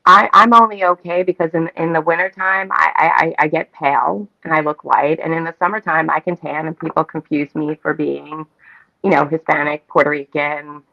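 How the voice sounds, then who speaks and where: A woman's voice: sits low, fairly even intonation, moderate pace, vocal fry, no echo, dull audio. A woman chatting in an opinionated, emphatic, repetitive way as a talk show co-host. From Staten Island, New York, United States. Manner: emphatic, repetitive, casually profane. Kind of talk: animated opinionated casual chat.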